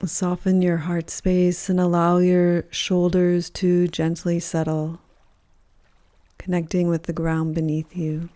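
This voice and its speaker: A woman leading a guided yoga nidra meditation in a calm, gentle, measured voice with soft pauses between phrases. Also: lowish voice, no echo. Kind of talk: guided meditation, soothing scripted narration.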